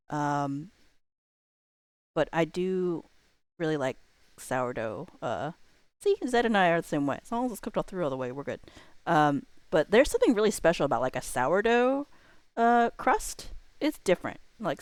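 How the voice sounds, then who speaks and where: A woman's voice: lowish voice, big pitch swings, normal speed, dry recording. A woman hosting a livestream, chatting casually to viewers in a joking way with wandering asides. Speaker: livestream host.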